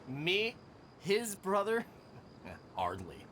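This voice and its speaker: A man's voice: high voice, huge pitch swings, extremely slow, background noise, exaggerated voice. A man playing a prickly but polite, emphatic worker in a video game. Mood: irritated, scoffing.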